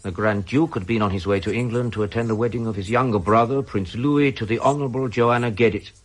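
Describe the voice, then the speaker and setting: An actor playing a stern, brusque, authoritative Soviet major with a slightly husky voice in a radio drama. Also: male voice, mid-range voice, a little fast, close to an everyday voice. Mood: reading aloud. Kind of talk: British radio drama acting.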